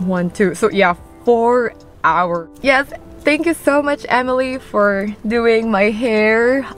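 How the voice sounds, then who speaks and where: A young woman chatting upbeat to her phone camera in a parked car, with many fillers. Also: mid-range voice. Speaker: vlogger. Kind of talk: casual vlog chat to camera. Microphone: phone held at arm's length.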